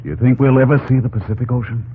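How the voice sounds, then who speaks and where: An actor playing a commanding, watchful expedition captain in an old-time radio drama. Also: male voice, medium pitch, fast talker, a little hiss or hum, natural voice. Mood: wondering. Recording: tinny old radio recording, music behind.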